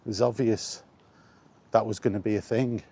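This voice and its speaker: A man's voice: lowish voice, steady tone, moderate pace, vocal fry, mellow, husky. A man chatting casually and bluntly in a rambling, resigned way, as a vlogger walking outdoors. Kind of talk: casual walking vlog commentary on video games.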